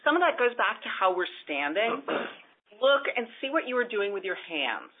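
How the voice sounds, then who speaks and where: A woman presentation coach giving lively, emphatic advice to a caller. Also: medium pitch, moderate pace, slightly rough. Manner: lively, emphatic, gives examples. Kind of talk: call-in advice show. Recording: tinny phone line.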